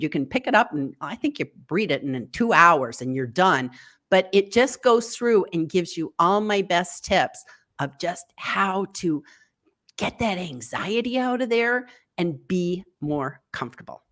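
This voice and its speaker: A woman from the United States talks over a video call as a guest coach. Her voice swings widely in pitch, jumping up when she voices quoted worries. She sounds encouraging and practical. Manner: animated, jumps high for quoted thoughts. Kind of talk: online interview.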